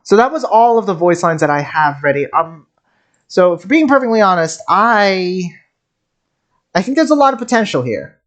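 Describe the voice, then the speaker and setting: A game streamer reacts casually in a middle-pitched voice. The delivery is rambling, with frequent stammered repetitions as thoughts get worked out aloud. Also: sing-song, normal speed. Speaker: streamer, game content creator.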